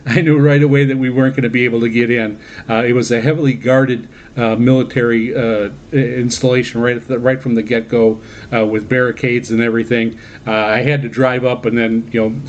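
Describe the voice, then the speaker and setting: A man hosting a hobby radio program in a casual monologue, speaking haltingly with many uhs and repeated words. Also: mid-range voice, darkish tone. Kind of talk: casual radio monologue recounting personal trips. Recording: dull, lo-fi band-limited audio.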